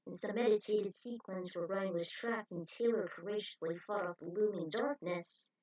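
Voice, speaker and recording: A woman's voice: medium pitch, poor audio. Female amateur author-narrator, reading own fan fiction aloud.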